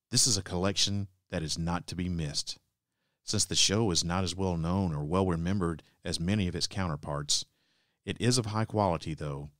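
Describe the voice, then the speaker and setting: A man hosting a podcast, reading a scripted introduction to old radio plays in measured phrases. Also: sits low, no echo, clean studio sound. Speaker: podcast host.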